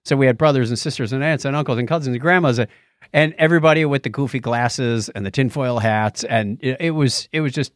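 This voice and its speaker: A man's voice: medium pitch, fast talker, dry recording, studio quality. A middle-aged man with an American accent hosting a podcast, telling anecdotes in a casual, chatty way. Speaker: podcast host.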